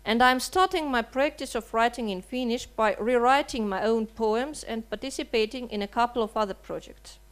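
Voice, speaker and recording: Woman, slightly high voice, writer reading a statement, measured and earnest, rhetorical questions. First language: Russian. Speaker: writer and translator. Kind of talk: reading a prepared seminar statement. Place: seminar hall.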